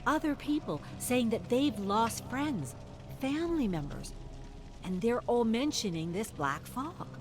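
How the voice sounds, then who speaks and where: An earnest male staffer, as a game narrator plays him, explaining things in a measured way. His voice rises and falls widely over clear game music. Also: medium pitch, very animated, pitched above the performer's own voice.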